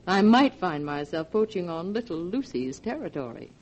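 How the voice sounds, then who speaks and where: A woman's voice: on the low side, big pitch swings, normal speed, darkish tone, poor audio. An actress playing a young, well-off woman in a 1940s radio drama. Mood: coy, knowing. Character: young heiress and her coy, sophisticated actress half-sister. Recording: vintage 1940s radio broadcast.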